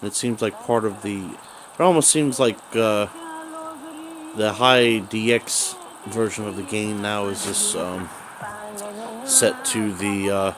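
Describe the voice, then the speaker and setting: Man, deep voice, rambling hobbyist demo style, hesitant delivery, light hiss and room noise behind. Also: steady tone. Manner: halting, rambling, trailing off. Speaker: video creator reviewing radio gear. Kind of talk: casual unscripted gadget talk. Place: small room indoors at home. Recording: hissy home video with background noise.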